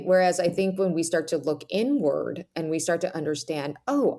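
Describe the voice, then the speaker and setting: An American woman with a slightly low voice talks as an interview guest. She explains things earnestly and reflectively, often checking in with the listener as she goes. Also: a little mellow. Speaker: podcast guest, author.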